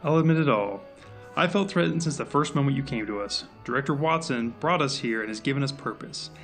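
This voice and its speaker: A gruff, world-weary male agent, played by an actor in an audio drama. He is curt, dry and easily exasperated, with a mid-pitched voice. Faint music sits behind him. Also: expressive, brisk, fairly bright tone. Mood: grudging.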